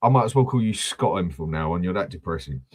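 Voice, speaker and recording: A man's voice: deep voice, animated, fast talker, husky. A man hosting a casual football fan chat, blunt and swearing freely. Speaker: host of a football fan channel.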